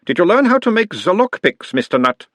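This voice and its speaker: A calm analyst with a mild Uberwaldian accent coaxes answers in a raised, lilting voice, as a man puts him on. Each question is gentle and probing. The recording is dry and close. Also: high-pitched, brisk, no echo, pitched above the performer's own voice, exaggerated voice. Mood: coaxing. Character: orc playing his own analyst, calm, probing.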